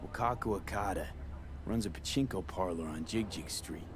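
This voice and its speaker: An actor playing a wry, tough mercenary in a video game, speaking in a laconic, dry way. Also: male voice, low voice, moderate pace, close to an everyday voice. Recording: game audio with background hum.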